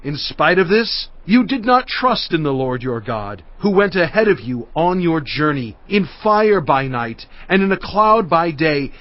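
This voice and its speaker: A man's voice: on the high side, big pitch swings, measured pace, hardly any pauses, no echo. An actor playing Moses as a fatherly, earnest leader addressing his people with oratorical weight in dramatized scripture narration. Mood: exhorting, grave. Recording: dull sound with music underneath. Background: music.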